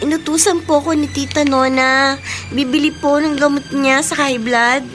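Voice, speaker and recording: A woman's voice: high voice, fairly even intonation, normal speed, fairly bright tone, a little hiss or hum. A woman with a Filipino accent playing an abused young stepdaughter, plaintive and hurt, in a radio drama. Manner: plaintive, polite, submissive.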